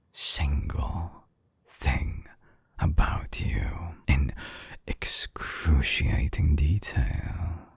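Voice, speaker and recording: A man playing an obsessive, calculating mad-scientist villain in an intimate audio drama, coaxing in a husky half-whisper with slow, silky menace. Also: darkish tone, dry recording, heavily acted. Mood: teasing menace. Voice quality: very deep, gravelly, husky, breathy half-whisper. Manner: slow, deliberate, silky menace with lingering pauses. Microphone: close binaural microphone, very dry.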